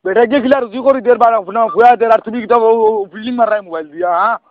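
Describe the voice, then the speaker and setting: This is a high-voiced Sylheti man arguing over a thin, noisy phone line. He scolds at a quick clip in long, exasperated rambles. Questioning grunts keep punctuating his complaints. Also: dry recording. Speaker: prank call participant. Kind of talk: heated phone argument. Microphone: telephone.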